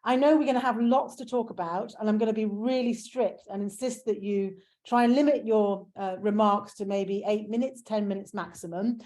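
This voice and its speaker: A woman moderating an online book launch, introducing the panelists in a measured way with frequent fillers. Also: medium pitch, fairly even intonation, warm tone. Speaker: webinar moderator. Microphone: video call.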